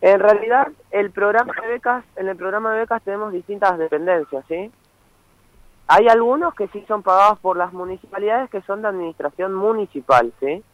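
A man's voice: high-pitched, brisk, no echo. A young man from Misiones with an Argentine Spanish accent, calling in as a student activist and explaining his cause earnestly, often checking in with "¿sí?". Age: young adult. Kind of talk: phone interview. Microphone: phone. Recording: muffled phone line.

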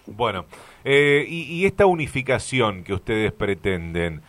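A man's voice: lowish voice, animated, measured pace, background noise. A man with an Argentine Spanish accent hosting a radio show and asking a caller questions in a friendly, conversational way. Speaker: radio host. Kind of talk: radio interview. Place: radio studio.

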